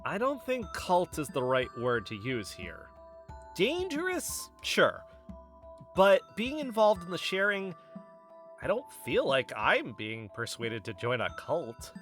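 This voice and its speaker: A man's voice: a little high, sing-song, slow pace, fairly bright tone, soft background music, exaggerated voice. A man playing a guarded, argumentative investigator in a scripted podcast drama. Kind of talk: scripted audio drama dialogue.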